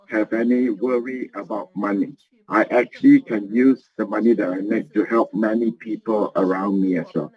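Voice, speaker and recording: A man giving a motivational business talk, earnest and halting, often repeating and restarting his phrases. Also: fairly low pitch. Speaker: business presenter. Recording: muffled lo-fi recording.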